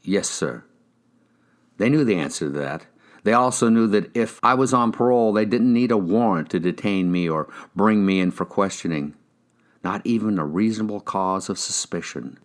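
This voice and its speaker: A man with a low voice narrates a crime novel in the first person. It is a scripted audiobook reading by an actor. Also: moderate pace.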